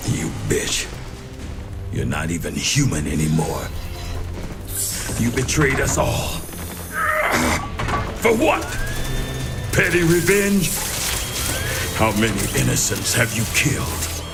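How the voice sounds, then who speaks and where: A gruff army general in a game cinematic, with a gravelly voice that swings widely in pitch and an unhurried, stern delivery. Loud music plays under him. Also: male voice, big pitch swings, full character voice. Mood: defiant. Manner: stern and defiant. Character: gruff defiant older male army general.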